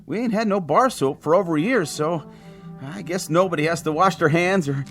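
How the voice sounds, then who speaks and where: A man's voice: on the high side, a little fast, fairly bright tone, soft background music. A man playing a frightened small-town grocery delivery man in an audio drama, stammering and nervously agreeing. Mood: anxious, friendly.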